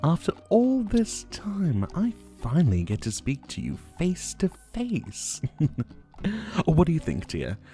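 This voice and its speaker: Casting: male actor for flirty, menacing dictator, possessive and theatrical; mellow, leisurely delivery, ASMR roleplay. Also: on the high side, huge pitch swings, faint music behind, full character voice. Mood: teasing, smug. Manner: teasing, theatrical, drawn-out purring delivery.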